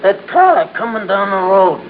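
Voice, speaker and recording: An actor playing a small, eager, dim-witted gangster's henchman, excitable and deferential, in an old-time radio crime drama. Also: sits high. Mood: confused, alarmed. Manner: excitable, slow on the uptake, deferential. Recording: muffled, noisy old radio recording.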